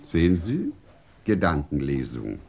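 An actor playing an urbane, teasing scientific director in a radio play, whimsical and courteous, with elaborately worded, theatrical speech. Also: male voice, extremely low pitch, wildly swooping pitch, a little slow, gravelly, a little mellow, breathy edge, exaggerated voice. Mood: courtly, bantering. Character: urbane, teasing male scientific director of a desert research station. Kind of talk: German radio play acting.

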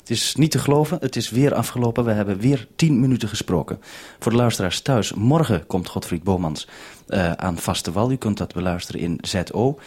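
A man with a husky voice, hosting a live radio interview. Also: fairly low pitch, fairly quick, a little mellow.

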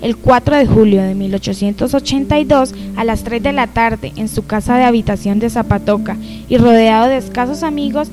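A woman's voice: a little high, a little fast, close to an everyday voice. A woman playing a curious young townswoman who reads history aloud carefully in an amateur radio drama. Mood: earnest reading. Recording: music behind the voice.

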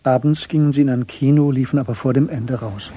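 A man narrating a German literary radio play in a measured, sober storytelling manner. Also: medium pitch, fairly even intonation, dark tone, a little hiss or hum, lightly acted. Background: music.

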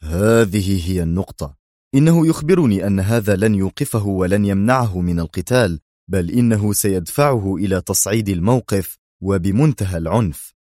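An actor playing a hot-tempered crime boss in an audio drama, speaking sharply and commandingly. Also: male voice, low voice, lively intonation, brisk, dark tone, no echo, studio quality.

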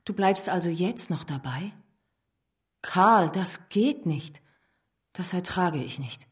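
A woman's voice: lowish voice, lively intonation, slow. An actress playing a stern, accusing female revolutionary in a radio drama, intense in manner. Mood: reproachful. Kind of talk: German radio drama acting.

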